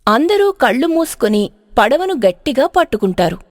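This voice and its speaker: Lively, highish-voiced woman narrator. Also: moderate pace, no echo, studio quality. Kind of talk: scripted dramatic story narration.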